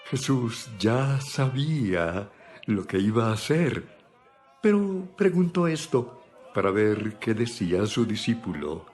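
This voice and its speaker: Very deep-voiced man narrating scripture. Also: big pitch swings, takes it slowly, darkish tone. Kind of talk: scripted dramatized Bible narration. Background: background music.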